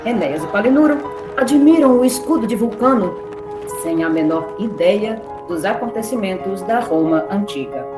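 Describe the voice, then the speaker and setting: A woman narrates an epic in dramatic, scripted storytelling style. Her mid-pitched voice has a roomy echo, with clear music behind it. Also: lively intonation, moderate pace.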